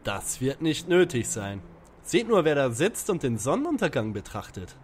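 Man playing a suspicious young detective, lively intonation. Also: mid-range voice, normal speed, a little hiss or hum, close to an everyday voice. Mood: dry, suspicious. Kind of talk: parody detective audio drama acting.

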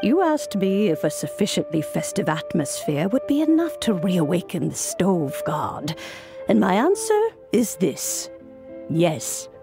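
A woman voicing a wise, composed elderly female master in a video game, speaking in a measured, deliberate way. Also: mid-range voice, wildly swooping pitch, music in the background. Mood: sage, calm. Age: older.

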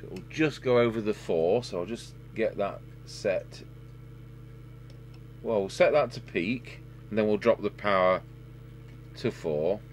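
A middle-aged man giving a casual, halting repair demonstration, thinking aloud as a technician at work. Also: fairly low pitch. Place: indoor workbench. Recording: steady hum and background noise.

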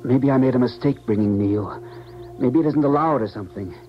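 A man's voice: medium pitch, brisk, lightly acted. Guy doing haunted stubborn scientist in old 70s radio drama, muffled recording, music underneath. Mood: pleading. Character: strained, stubborn scientist haunted by his dead wife. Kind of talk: 1970s radio drama acting. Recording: dull 1970s radio recording, music behind.

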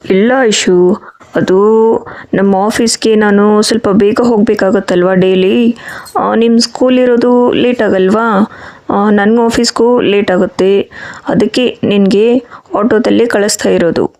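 Woman as soothing dad, children's cartoon story, mellow timbre, gentle, coaxing, explanatory. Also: mid-range voice, fairly even intonation, unhurried, natural voice. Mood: patient explaining. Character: patient, soothing father of a young schoolgirl.

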